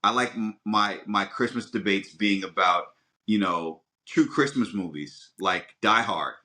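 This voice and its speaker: A man with an American accent speaks as a casual podcast host. His voice is deep yet bright and punchy. He talks in a loose, meandering way, working out his thoughts aloud. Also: measured pace.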